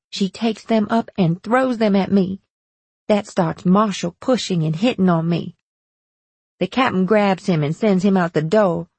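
A narrator puts on a distressed young woman, worn down yet determined, in an audiobook story. Her dialect speech is urgent, with a husky edge and a close, dry sound. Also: medium pitch, darkish tone, no room sound. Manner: urgent, dialect speech with simple present tense. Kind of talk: audiobook character voice.

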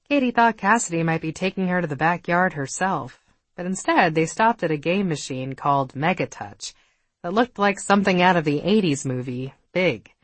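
Woman narrating fiction, lowish and lively. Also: dry recording. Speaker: audiobook narrator.

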